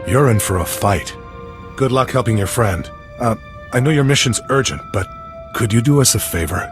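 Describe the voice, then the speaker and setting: A male actor plays a no-nonsense resistance fighter in a video game. His voice sits mid-range, and he talks like a practical soldier giving an informal briefing. Music is clearly audible behind him. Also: mid-range voice, lively intonation, moderate pace, close to an everyday voice. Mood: businesslike. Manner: informal, matter-of-fact military briefing. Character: plain-spoken, pragmatic resistance soldier, adult man.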